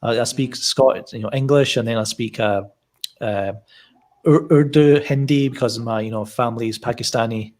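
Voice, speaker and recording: A man with a soft Scottish accent chats as a guest on an online show. His voice sits in a plain middle range, and he often hesitates and repeats himself. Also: medium pitch, moderate pace. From Scotland. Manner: hesitant, many fillers and repeats. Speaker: guest panelist. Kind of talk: casual online show chat.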